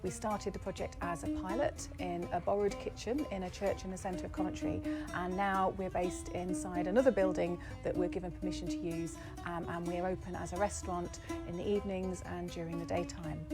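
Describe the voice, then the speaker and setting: Lowish British woman explaining over music. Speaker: project founder. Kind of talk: explanatory interview to camera.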